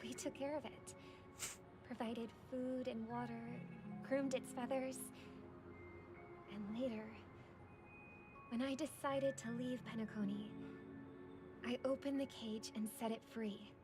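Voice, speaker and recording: A woman playing an earnest, principled young sister in a video game, speaking in a measured and articulate way. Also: a little high, steady tone, lightly acted. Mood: wistful. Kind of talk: dramatic video game dialogue. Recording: music behind the voice.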